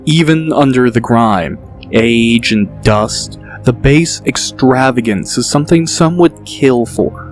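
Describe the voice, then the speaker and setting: A man reading scripted audiobook narration in a measured, descriptive way. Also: medium pitch, faint music behind. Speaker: author and narrator.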